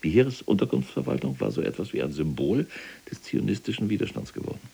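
A man's voice: fairly low pitch, big pitch swings, fast, gravelly, dark tone, slightly rough, heavily acted. A man playing an imprisoned, fanatical older SS general in a German audio drama, pompous and lecturing in manner. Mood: grave, reporting. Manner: pompous, lecturing, indignant when contradicted. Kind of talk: German audio drama acting, monologue and argument.

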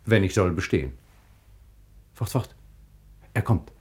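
An actor playing a proud, impatient sultan in classical radio drama, commanding and declamatory. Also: male voice, low-pitched, lively intonation, unhurried, darkish tone, slightly rough, heavily acted.

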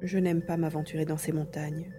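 A woman's voice: low-pitched, flat delivery, normal speed, background noise, lightly acted. A woman playing a wary, hesitant young navigator in a French audio drama. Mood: uneasy. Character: Karl, wary young navigator grieving a dead father.

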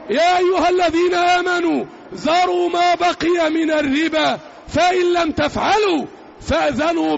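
High-pitched, gravelly man preaching forcefully. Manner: emphatic, declamatory, repeats short phrases. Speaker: preacher delivering an Eid sermon. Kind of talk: Arabic religious sermon, loud oratory. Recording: dull lo-fi sound, faint background noise.

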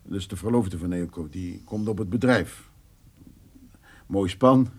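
An older farmer in a naturalistic radio play, awkward and full of regret. He speaks slowly and haltingly, in a fairly low, husky voice. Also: darkish tone, full character voice. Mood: defensive. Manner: hesitant, halting. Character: remorseful, awkward older male farmer visiting an old neighbour. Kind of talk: naturalistic radio drama dialogue.

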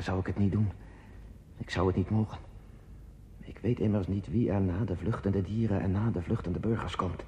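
A male actor plays a lonely border guard commander in a radio drama. His voice is on the low side, brooding and resigned. He speaks in terse, deliberate phrases, as if reporting to himself. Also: a little mellow, slight background noise, natural voice. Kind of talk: introspective radio play monologue.

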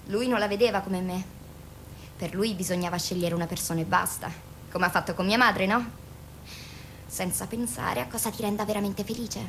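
A woman's voice: mid-range voice, steady tone, moderate pace, a little hiss or hum, close to an everyday voice. An actress in a radio drama playing a defiant, bitter young patient, blunt, colloquial and sarcastic with her therapist. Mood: bitter, resentful. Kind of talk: Italian radio drama acting.

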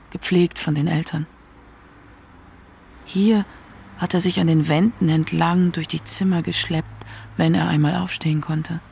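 A woman narrating a scripted literary radio feature with measured, dramatic emphasis. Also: on the low side, creaky, warm tone, a little hiss or hum.

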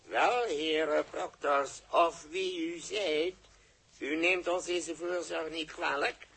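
A man's voice: on the high side, fairly even intonation, slow, vocal fry, fairly bright tone, slightly rough, full character voice. An actor playing an unseen, stiffly formal male captor who addresses his prisoners with cool politeness in an old radio play. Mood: coolly polite. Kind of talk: 1960s radio play acting. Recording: old 1960s radio recording, faint hiss.

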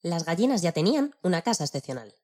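A woman with a husky voice narrating a rhyming children's tale in a playful, declamatory, theatrical way. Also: fairly low pitch, sing-song, quick pace, a little mellow, a little hiss or hum. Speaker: storyteller.

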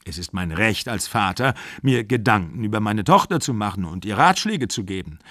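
A man voicing a stern older father who runs a small theater, speaking calmly and curtly. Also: lowish voice, moderate pace, dry recording, studio quality. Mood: firm. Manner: calm, firm, curt.

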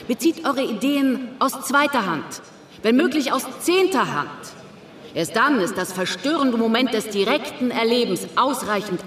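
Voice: mid-range voice, fairly bright tone. An actor playing a dogmatic lecturer who exhorts listeners with pompous emphasis in a radio play. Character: dogmatic lecturer preaching second-hand ideas to listeners. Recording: roomy echo on the voice.

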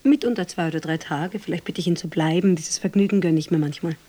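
Actress as a fast, sardonic low-voiced prostitute. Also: female voice, dark tone, lightly acted. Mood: cool, businesslike. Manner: sardonic, unsentimental retorts. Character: cool, sharp-tongued Viennese prostitute named Rita. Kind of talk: radio drama acting.

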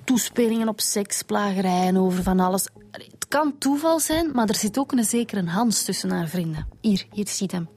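An actress playing a cheeky, resourceful police detective with a Flemish accent in a radio drama, colloquial and teasing. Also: female voice, medium pitch, expressive, normal speed, a little mellow, husky. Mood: explaining.

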